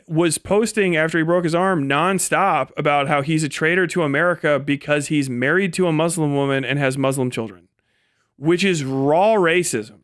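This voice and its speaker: High-pitched, slangy man livestreaming commentary. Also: a bit bright, clear, resonant tone, dry recording, clean studio sound. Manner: heavy swearing, slangy. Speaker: live streamer and political commentator. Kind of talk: casual unscripted livestream commentary.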